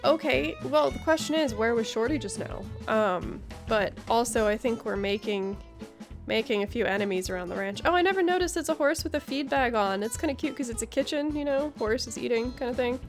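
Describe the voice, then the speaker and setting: A woman's voice: fairly high pitch, lively intonation, fairly quick. Chatty woman gamer, faint music behind. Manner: chatty, reacts aloud with interjections. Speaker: gaming video host. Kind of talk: casual playthrough commentary.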